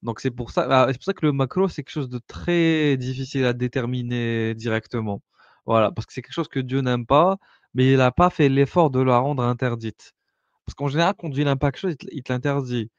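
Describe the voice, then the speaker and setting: A man's voice: medium pitch, fairly quick, dry recording. Hesitant man explaining, muffled lo-fi sound. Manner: hesitant, many fillers and restarts, explaining patiently. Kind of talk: casual explanatory discussion. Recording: dull lo-fi sound, dry.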